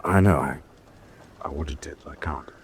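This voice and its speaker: A very deep-voiced naval officer, anguished and halting in a radio drama. Faint noise sits behind his troubled speech. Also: vocal fry, full character voice. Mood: anguished, faltering.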